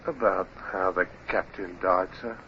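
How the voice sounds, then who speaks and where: An actor playing an insolent sailor in a radio drama, slyly deferential and full of pointed insinuation. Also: male voice, sits low, fairly even intonation, a little slow. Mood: sly, insinuating. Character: insolent, insinuating male sailor, the captain's toady. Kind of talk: 1950s radio drama acting. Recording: muffled, hissy vintage radio recording.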